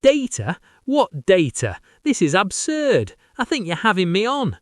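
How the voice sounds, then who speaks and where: A man putting on a young woman at a hotel front desk in a comic story. She is crisp and professional, pitched high with lively, swinging intonation. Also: unhurried, no room sound, clean studio sound, full character voice. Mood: irritated, sceptical. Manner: crisp customer-service politeness. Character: polite, efficient young woman hotel receptionist, increasingly sceptical. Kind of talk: comic prank-call storytelling.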